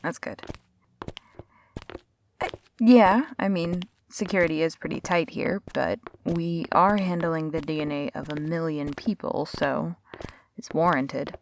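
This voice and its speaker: A woman's voice: sits low, measured pace, slight background noise. A woman playing a sarcastic, brusque but friendly workaholic researcher in a sci-fi audio roleplay, with a casual, wry delivery.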